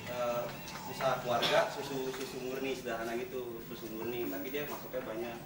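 A man's voice: mid-range voice, level tone, brisk, loud music behind the voice. A young man doing a stand-up comedy routine in an office, telling stories and slipping into character voices. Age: young adult. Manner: storytelling with acted-out character voices. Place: large open-plan office, indoors. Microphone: far camera. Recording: roomy office sound from far off. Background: office ambience and colleagues.

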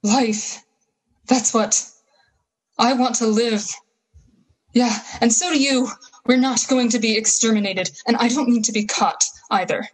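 Female actor for a bitter war survivor in a radio drama, husky voice, folksy slang, full of grand plans. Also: fairly high pitch, fairly even intonation, low-quality recording. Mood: defiant. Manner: folksy, slangy, halting emphatic phrases. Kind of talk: 1930s-style radio play acting.

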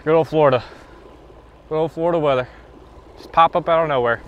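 A man's voice: on the high side, brisk, lo-fi sound, slight background noise. A young man vlogging as he fishes from a kayak on an open lake, chatting casually to himself and the fish and laughing now and then, picked up by an action camera mounted on the boat. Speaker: fishing vlogger. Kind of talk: casual vlog narration.

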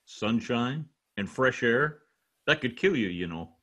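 A man's voice: mid-range voice, animated, unhurried, pushed and bright. An older man playing a pompous, grumbling husband and hammy actor in an amateur old-time radio comedy. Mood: scornful. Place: home office, video call. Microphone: video call.

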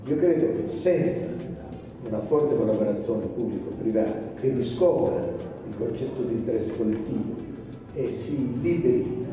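A man in his sixties, a foundation president, speaking formally into a handheld mic in a measured way that trails off softly. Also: medium pitch, expressive, far from the mic, background noise. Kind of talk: formal public talk. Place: large exhibition hall. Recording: echoey hall, music behind.

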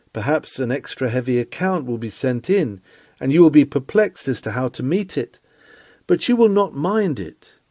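Man reading a sermon with animated pitch. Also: dry recording. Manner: earnest, rhetorical preaching delivery with emphatic phrasing. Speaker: reader of historical sermons.

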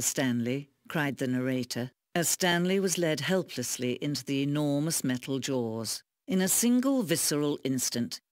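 A woman playing a knowing, solemn narrator in a video game, deliberate and earnest in her delivery. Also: sits low, no echo, clean studio sound. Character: solemn, knowing female narrator speaking to the player. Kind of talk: scripted dramatic narration.

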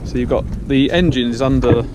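A man's voice: mid-range voice, fairly quick. A man chatting casually as a fishing vlogger, upbeat and thinking aloud, on a small boat at sea. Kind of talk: casual on-the-spot vlog narration. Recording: loud wind and water noise on an outdoor boat mic.

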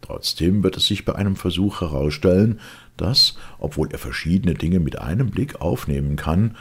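A man reading literary prose as an audiobook narrator, with a gravelly, husky voice and measured, deliberate phrasing. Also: low-pitched, lively intonation.

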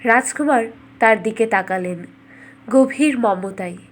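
A woman's voice: fairly high pitch, measured pace. A woman reading scripted fairytale narration for a cartoon as a storyteller.

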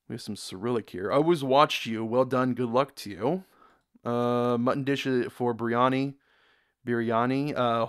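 A man's voice: mid-range voice, clear, resonant tone. A young man from the United States hosting a casual reaction video, rambling, repeating words and reading comments aloud from a dim room.

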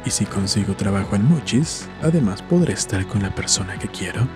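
A man doing scripted, dramatic storytelling with measured, deliberate phrasing. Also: on the low side, expressive. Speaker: horror story narrator and channel host. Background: music.

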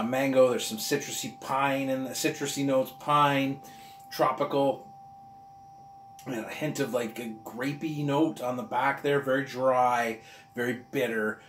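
A man's voice: medium pitch, reverberant, slight background noise. Chatty middle-aged man reviewing beer. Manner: enthusiastic, chatty, talks with his hands. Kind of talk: casual beer review vlog. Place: room with walls of beer bottles, indoors.

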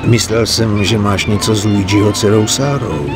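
An actor playing an older, fatherly mafia adviser in a game cutscene, giving measured, stern counsel. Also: fairly low pitch, fairly even intonation, lightly acted. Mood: admonishing, earnest. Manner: measured, admonishing. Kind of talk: dramatic game cutscene dialogue. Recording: prominent music under the voice.

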